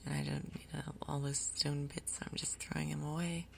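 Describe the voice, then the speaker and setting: A woman giving soft-spoken ASMR game commentary in a breathy, gentle, unhurried way. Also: low voice, little pitch movement, a little hiss or hum. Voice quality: breathy, whispery. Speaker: gaming video host.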